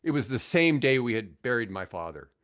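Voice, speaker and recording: A man's voice: medium pitch, big pitch swings, brisk, fairly bright tone. A man in his sixties telling casual anecdotes in a jokey, self-mocking way, slipping into acted-out bits of dialogue. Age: 60s. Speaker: podcast guest, retired radio host.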